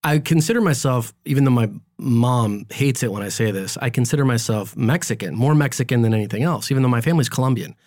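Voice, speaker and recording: A man from the US who is a priest, hosting a relaxed interview and telling stories with animated, talkative energy. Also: medium pitch, quick pace, hardly any pauses, dry recording, studio quality. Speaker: priest and podcast host. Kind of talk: casual interview chat.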